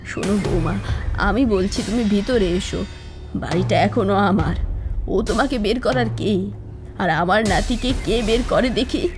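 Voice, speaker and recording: A woman playing a gentle but firm old mother and grandmother in a cartoon. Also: mid-range voice, measured pace, natural voice. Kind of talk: cartoon voice acting. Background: music.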